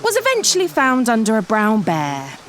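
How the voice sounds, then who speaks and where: A woman's voice: on the high side, wildly swooping pitch, moderate pace, a bit bright. An actress playing a wry, self-important woman writer turned amateur detective in a comedy audio drama, with dry sardonic delivery. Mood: wry, confiding. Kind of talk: audio drama narration and dialogue.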